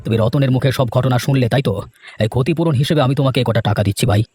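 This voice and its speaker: A man playing a deep-voiced, dignified village gentleman. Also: rapid-fire, breathy edge, no room sound, faint music behind, close to an everyday voice. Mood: apologetic, grave. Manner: emphatic, repeats words. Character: dignified elderly village gentleman, food-loving, righteous benefactor. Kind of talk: Bengali fairy tale cartoon acting.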